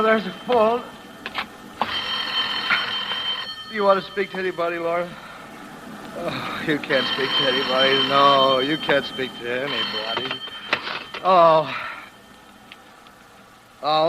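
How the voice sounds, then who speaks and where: An actor playing a drunken, grieving ex-husband, halting and repetitive, in 1940s radio drama style. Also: male voice, high-pitched. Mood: dazed, grieving. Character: drunken, grieving ex-husband who has killed. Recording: noisy old radio recording.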